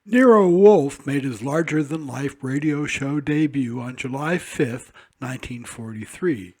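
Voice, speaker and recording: A man hosting a classic radio program, announcing in a smooth manner. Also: mid-range voice, measured pace, darkish tone. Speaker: program host.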